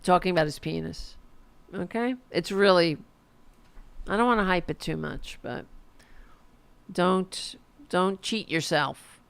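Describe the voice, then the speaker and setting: Sarcastic woman livestreamer with a gravelly edge. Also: on the low side, slow, long pauses. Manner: sarcastic, profane, mocking. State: losing her voice. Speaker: political commentary host. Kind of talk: casual livestream commentary.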